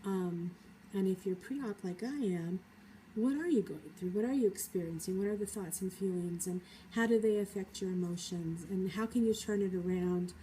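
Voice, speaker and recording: A woman with a cold, talking through her feelings in a thoughtful, hesitant personal vlog monologue with many pauses. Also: medium pitch, warm tone, slight background noise, rough recording. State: has a cold. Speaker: vlogger.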